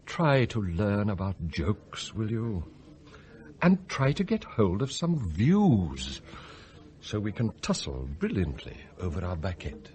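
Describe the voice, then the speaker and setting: Eloquent scheming English butler, wildly expressive. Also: male voice, fairly low pitch, takes it slowly, exaggerated voice. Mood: sardonic, goading. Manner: theatrical, articulate, formal, given to rhetorical flourishes. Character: eloquent, scheming male butler, sardonic and idealistic. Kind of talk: radio drama acting.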